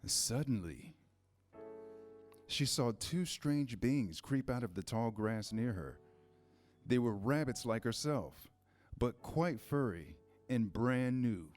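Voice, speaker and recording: A man reading scripted storybook narration in a measured, storytelling manner. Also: medium pitch, lively intonation, darkish tone, soft background music.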